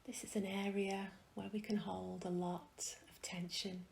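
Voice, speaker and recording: A woman leading a guided meditation in a soft, hushed, breathy voice, gently and soothingly, with long pauses. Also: medium pitch, level tone.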